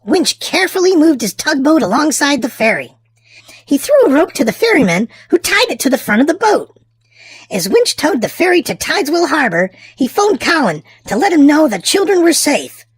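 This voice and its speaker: A woman hosting and narrating a children's storybook aloud, with theatrical, drawn-out emphasis for small children. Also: high voice, big pitch swings, dry recording. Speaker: children's storybook reader and channel host. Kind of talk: expressive read-aloud narration for young children.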